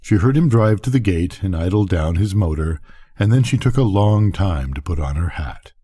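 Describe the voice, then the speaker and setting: A man's voice: low-pitched, animated, moderate pace, dark tone, slightly rough. An older man reading a bedtime story aloud as its narrator.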